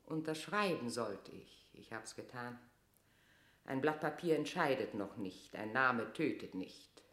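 A woman's voice: very deep voice, expressive, unhurried, a bit bright, heavily acted. An actress playing a proud, tormented Queen of England in a classic radio drama, with grand, declamatory delivery. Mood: coolly evasive. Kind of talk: classic German radio play acting of verse drama. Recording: roomy, reverberant radio drama studio.

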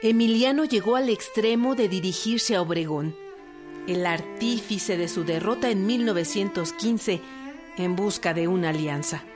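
A woman's voice: medium pitch, moderate pace. A woman delivering scripted documentary narration as the narrator of a historical programme. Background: string music.

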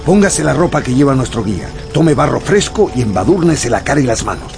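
A noble gentleman adventurer in a radio drama, with a creaky, gravelly edge and widely swinging pitch. He is formal and polite, yet decisive and commanding, over prominent music. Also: sing-song, a little fast. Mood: calm, commanding.

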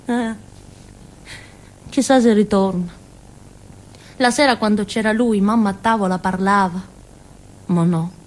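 An actress playing a naive, childlike village simpleton girl with a Calabrian accent, in a halting, repetitive theatre monologue. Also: medium pitch, a little mellow, natural voice, low-quality recording. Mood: wistful. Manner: simple, repetitive, halting, with questioning tags and giggles. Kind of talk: theatrical monologue in colloquial dialect-tinged Italian.

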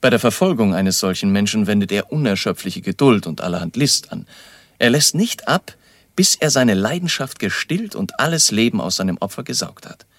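A learned, authoritative baron in a radio drama. His voice is low, husky and dry, with a formal, measured, lecturing delivery. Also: lively intonation, no echo. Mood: lecturing, solemn. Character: grave, authoritative baron, learned vampire hunter.

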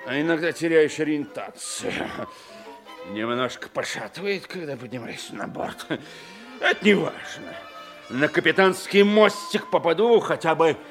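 An actor playing a drunken, forgetful, boastful sea captain in an audio play, with a slurred theatrical drawl. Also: male voice, fairly high pitch, gravelly, a bit bright, full character voice. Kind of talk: theatrical audio play acting with narrated monologue.

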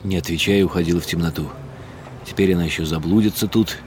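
A man reading a first-person literary story as a radio play, in a measured, wry and bitter tone. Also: sits low, fairly even intonation, a little mellow, a little hiss or hum.

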